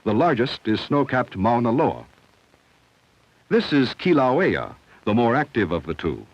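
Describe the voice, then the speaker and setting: A man reading scripted narration for a 1970 educational film in a measured, instructional, clearly enunciated way. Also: mid-range voice, very animated, no room sound. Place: studio voice-over. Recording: dull, dry vintage film soundtrack.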